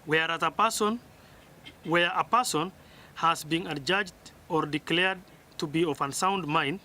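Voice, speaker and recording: A man's voice: fairly high pitch, a little slow, bright, forceful tone, slight background noise. A man with a Kenyan accent formally reading out a legal ruling, often stumbling and repeating words. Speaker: electoral commissioner reading a ruling.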